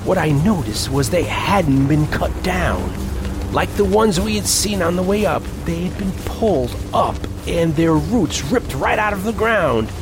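Higher-voiced reporter narrating, music behind. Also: male voice, lively intonation, unhurried. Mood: earnest, foreboding. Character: reporter recounting an eerie western expedition, earnest man. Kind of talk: first-person scripted audio drama narration.

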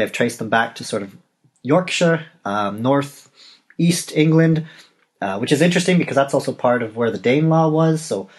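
A Canadian man in his thirties hosting a solo podcast, talking casually and digressing with frequent self-corrections. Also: mid-range voice. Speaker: podcast host and novelist.

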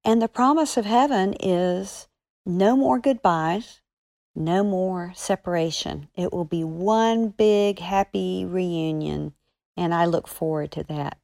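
A woman speaking as a children's author and podcast guest, encouraging young listeners with earnest, deliberate emphasis. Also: lowish voice, creaky, a little mellow, no echo, studio quality.

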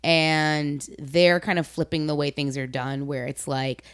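Woman, low voice, dry close recording, casual conversation, candid, informal host. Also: fairly even intonation, measured pace, clear, resonant tone, no echo. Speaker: podcast host and comedy performer.

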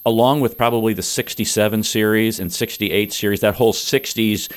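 A male radio host with a slightly low voice, upbeat as he reads scripted intros. He moves from those into easy, friendly questions for his guest. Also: fairly even intonation, brisk. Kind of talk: radio talk show hosting.

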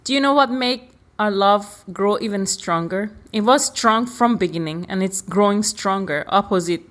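A woman's voice: mid-range voice, normal speed, fairly bright tone. A woman co-hosting a casual podcast, a non-native English speaker whose phrasing drops small words. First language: not English. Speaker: podcast co-host. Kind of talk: casual conversational talk.

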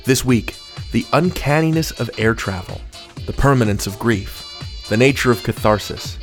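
Podcast host guy, really lively pitch, articulate and wordy, does intros and interviews. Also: normal speed, faint music behind.

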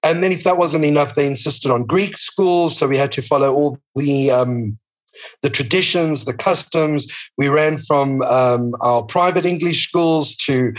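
A middle-aged man from Africa. He speaks as an interview guest, reflective and earnest, in long, unhurried sentences. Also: fairly high pitch, rough recording. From South Africa. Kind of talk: conversational interview.

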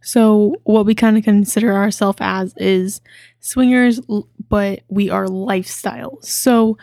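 A young woman of twenty co-hosting a casual podcast, talking in a halting way with stumbles and restarts. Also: mid-range voice, steady tone, darkish tone, dry recording, studio quality. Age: young adult. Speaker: podcast co-host.

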